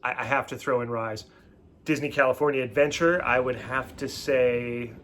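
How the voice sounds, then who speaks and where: A man's voice: mid-range voice, normal speed, a bit bright, slight background noise. A man in his forties chatting casually as co-host, given to rambling, self-interrupting explanations. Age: 40s. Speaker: co-host of a couple's channel. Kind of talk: casual chat.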